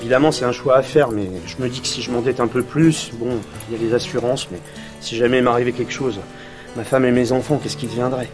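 A man's voice: mid-range voice, steady tone, fast talker, lightly acted. An actor playing a worried male shopkeeper who talks hesitantly to his doctor about debts, in a radio drama. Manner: hesitant, rambling. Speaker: patient. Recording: music under the voice.

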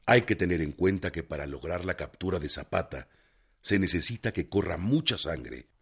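Deep, solemn newspaper reader. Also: male voice, expressive, normal speed, exaggerated voice. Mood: grave. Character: grave male reader of an old newspaper report. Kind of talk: dramatized scripted reading in a radio drama. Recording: clearly noisy, clicking background.

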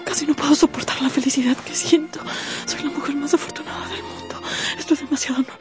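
An overjoyed young bride-to-be in a radio romance. Her high voice swings up and down as she gushes breathlessly, with music clearly behind. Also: wildly swooping pitch, fast talker. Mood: overwhelmed joy. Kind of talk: Spanish-language radio drama acting.

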